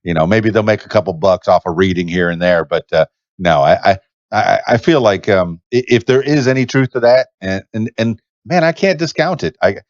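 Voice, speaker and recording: A man's voice: lowish voice, animated, normal speed, no room sound. Hesitant Miami man, dull dry sound. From Miami, Cuban parents. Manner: hesitant, stammering, says "man" often. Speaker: podcast host. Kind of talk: casual podcast chat.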